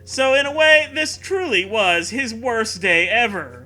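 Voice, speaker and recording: Male comedy host, very bright, lively swooping delivery, sarcastic story-reading with laughter. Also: extremely high pitch, measured pace, faint music behind, rough recording. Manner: animated, sarcastic, often laughing through words. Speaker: podcast co-host. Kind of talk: comedic riffing while reading fan fiction aloud.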